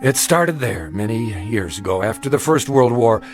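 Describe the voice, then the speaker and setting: Radio drama lead: male actor as haunted older man, mid-pitched, very expressive, precise and grave, music underneath. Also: lightly acted. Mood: reflective, intense. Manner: formal, precise, deliberate. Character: haunted older gentleman recounting his youth, grave, insistent.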